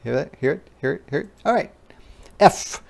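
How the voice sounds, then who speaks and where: A teacher reading aloud to young children in an interactive phonics lesson, deliberately repeating sounds and words and adding simple explanations. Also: mid-range voice, lively intonation, gravelly, darkish tone. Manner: child-directed explanatory asides.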